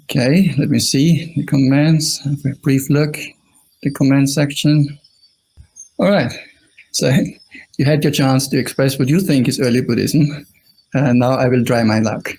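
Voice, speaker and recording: A man with an Austrian accent and a slightly husky voice at a middle pitch. He teaches as a Buddhist monk in an informal, conversational way, checking in with his online listeners as he goes. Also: fairly even intonation, unhurried, a little mellow, rough recording. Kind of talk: informal dhamma talk to an online audience.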